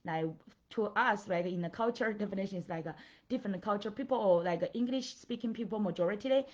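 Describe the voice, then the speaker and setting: Chinese-accented woman coach, halting and earnest. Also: medium pitch, a little mellow, lo-fi sound. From China. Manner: halting, filler-heavy, checks in with "right?" and "okay?". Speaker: life and business coach. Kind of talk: motivational talk to followers.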